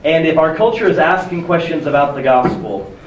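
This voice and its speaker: A hoarse young man preaching a sermon in a conversational, self-deprecating way, with many restarts. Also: fairly high pitch, animated, brisk, dull audio, a little hiss or hum. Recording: echoey room from far off with faint ambience.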